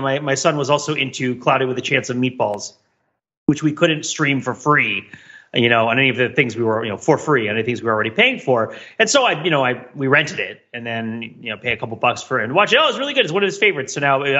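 A middle-aged American man with a bright, forward voice, co-hosting a casual podcast. He rambles through his points like an eager storyteller, and the audio is a little dull. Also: mid-range voice, lively intonation, fast talker. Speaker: podcast co-host.

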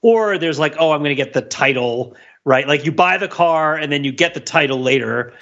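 Male American podcast co-host, forceful bright voice, a bit high, mock-earnest riffing. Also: normal speed, slight background noise. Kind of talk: casual comedic banter. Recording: slightly dull, lo-fi microphone sound.

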